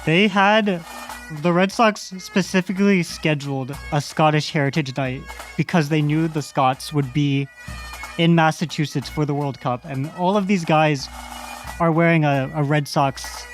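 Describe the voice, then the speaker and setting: A man's voice: on the high side, measured pace, soft background music. A man co-hosting a sports podcast, chiming in with facts in a matter-of-fact way. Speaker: podcast co-host. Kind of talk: casual sports chat.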